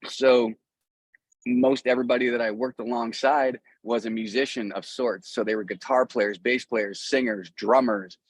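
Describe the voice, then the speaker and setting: A middle-aged American man being interviewed as a musician, talking casually and earnestly in halting, rambling sentences with repeated phrases. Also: mid-range voice, no room sound, poor audio. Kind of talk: casual, rambling conversation about a music career.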